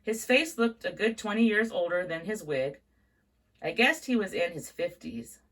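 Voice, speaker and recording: A woman reading a book aloud in an expressive storytelling manner. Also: mid-range voice, moderate pace, low-quality recording. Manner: expressive read-aloud, carries on through interruptions. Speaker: book reader.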